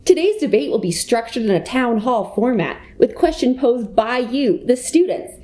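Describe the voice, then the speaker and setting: A woman's voice: a little high, animated, normal speed, warm tone, natural voice. A young American woman playing a prim schoolgirl who moderates an elementary school debate, formal and orderly, in a comedy radio play. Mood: formal, composed. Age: young adult.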